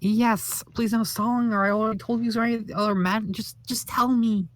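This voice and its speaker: A man's voice: sits high, fairly even intonation, normal speed, fairly bright tone. A man voicing a cheerful, naive teenage boy who asks eager, pleading questions. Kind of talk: comic read-along voice acting.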